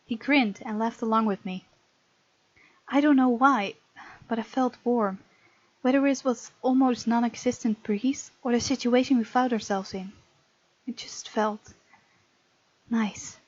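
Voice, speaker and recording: A woman's voice: on the high side, unhurried, long pauses, no echo, dull audio. A woman hosting a gaming video, reading game text aloud and breaking off to comment playfully.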